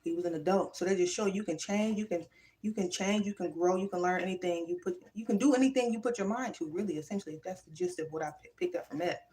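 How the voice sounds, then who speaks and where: A woman, talking casually on her own livestream. She speaks in an animated, emphatic way and moves between thoughtful musing and preachy encouragement. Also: lowish voice, fast talker. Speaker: livestream vlogger. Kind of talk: casual spiritual livestream monologue. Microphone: phone or webcam livestream.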